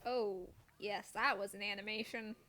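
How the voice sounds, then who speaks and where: A woman's voice: medium pitch, steady tone, normal speed, sharp tone. A woman chatting casually while playing a game on stream, playful and self-deprecating with silly exclamations. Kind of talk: casual gameplay chat.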